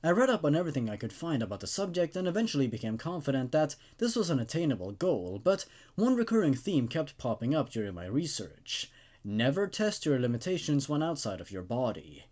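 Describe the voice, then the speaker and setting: Expressive man reading and reviewing horror stories. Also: on the high side, brisk. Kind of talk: dramatic story reading and casual review commentary.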